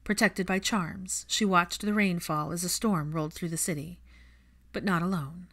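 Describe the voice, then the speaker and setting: A woman's voice: on the low side, fairly even intonation, moderate pace, a bit bright. A woman reading fiction aloud as an audiobook narrator, in scripted storytelling style.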